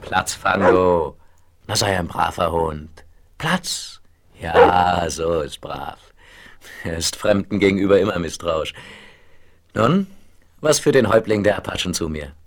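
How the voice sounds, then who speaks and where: An actor playing a courteous, brisk young railway engineer in a German radio play western. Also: male voice, deep voice. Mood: soothing, then friendly, polite. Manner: polite, businesslike.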